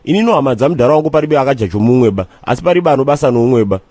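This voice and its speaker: A man voicing a cantankerous, streetwise character who rants and rambles argumentatively in slang in an animated comedy. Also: mid-range voice, rapid-fire, no room sound, lightly acted. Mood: ranting. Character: cantankerous, streetwise man ranting comically about his family.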